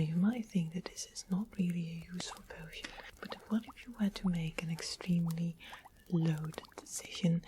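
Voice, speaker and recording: A woman voicing a sweet, mischievous witch in an ASMR fantasy roleplay, in a breathy whisper with an unhurried, polite, coaxing manner. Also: on the low side, background noise, lightly acted. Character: sweet-smiled, mischievous witch of the west, softly sly.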